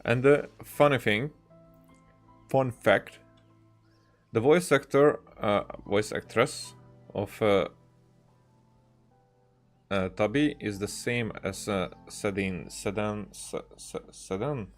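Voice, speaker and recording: A man's voice: fairly low pitch, slow pace, pauses often, soft background music. A man doing casual live game commentary, hesitant and thinking aloud as he goes. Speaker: video game streamer.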